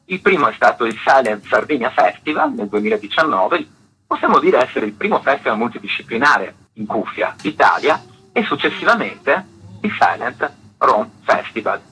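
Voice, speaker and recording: A man's voice: mid-range voice, sing-song, moderate pace, bright, forceful tone, slightly rough, rough recording. A man explaining things articulately and in detail as an artistic director being interviewed on the radio.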